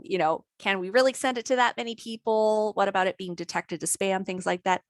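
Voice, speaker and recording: A woman trainer talking through a software tutorial in a casual, conversational way, her voice a little worn today. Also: mid-range voice, no echo, studio quality. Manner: conversational, lots of "maybe" and "you know". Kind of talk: screen-share software tutorial.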